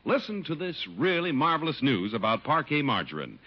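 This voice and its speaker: Animated American radio announcer, a man. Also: on the high side, a little fast. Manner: polished, emphatic. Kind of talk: 1950s radio announcing, scripted sales pitch and narration. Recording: muffled old radio broadcast. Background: music.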